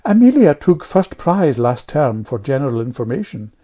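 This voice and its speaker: A woman's voice: a bass voice, big pitch swings, normal speed, dark tone, heavily acted. A woman voicing a snobbish, catty vicar's wife and doting mother, tart and condescending, in a storybook. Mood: proud, needling.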